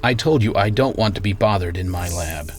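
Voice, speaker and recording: A man's voice: sits low, expressive, slight background noise. A man playing a domineering, menacing scientist tycoon in a radio drama, speaking in an imperious, sardonic way. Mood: irritated, threatening. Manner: imperious, deliberate storyteller, sardonic.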